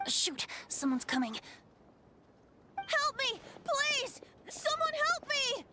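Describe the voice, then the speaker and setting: A woman's voice: sits high, sing-song, normal speed. A woman voicing a playful, theatrical young male bard in a video game. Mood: mock panic. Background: game music.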